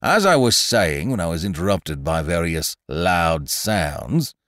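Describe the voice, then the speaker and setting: Male reader as doleful, pompous old donkey for a storybook, very low, heavy delivery, close dry sound. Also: very animated, a little slow, fairly bright tone, dry recording, exaggerated voice. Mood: stern. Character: gloomy, self-important old male donkey.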